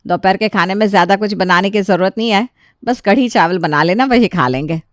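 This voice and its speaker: A woman's voice: medium pitch, brisk, dry recording, studio quality, lightly acted. Woman playing a bossy, fussy older mother-in-law. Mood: kindly instructing. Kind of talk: animated Hindi family story dialogue.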